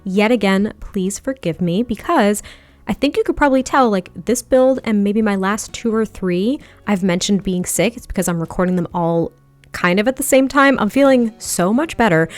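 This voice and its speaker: A chatty woman narrates a game build in a relaxed, rambling way, with a voice that is a little nasal from a lingering head cold. She sits close to the microphone at her desk at home, and the sound is dry and close with no room echo. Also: mid-range voice, normal speed, soft background music. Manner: chatty, rambling, with small laughs. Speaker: video game build creator. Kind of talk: casual voice-over commentary over gameplay. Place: home setup at a standing desk.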